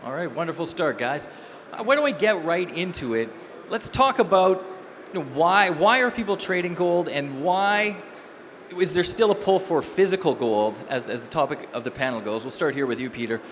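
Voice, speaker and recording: A man's voice: fairly high pitch, lively intonation, moderate pace, fairly bright tone. A man hosting a panel at a trade expo, welcoming guests and putting questions to them. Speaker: panel moderator. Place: expo hall stage. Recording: reverberant expo hall with faint noise.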